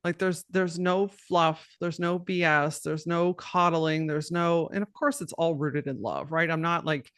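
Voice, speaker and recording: A woman with a low voice talking bluntly and directly as a podcast guest, cussing freely and laughing often. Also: fairly even intonation, a little slow, hardly any pauses, a bit bright, smooth, no room sound, studio quality. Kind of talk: casual podcast conversation.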